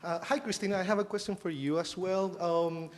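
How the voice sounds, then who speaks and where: A man's voice: high voice, fairly even intonation, brisk. A man from Chile, asking a panel a question from the audience, hesitant and searching for words. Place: lecture hall audience. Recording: roomy hall with faint background noise.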